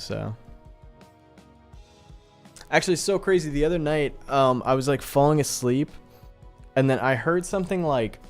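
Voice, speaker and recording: A man hosting a livestream, chatting casually and informally in slangy, appreciative asides to his viewers. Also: mid-range voice. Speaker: live streamer and host.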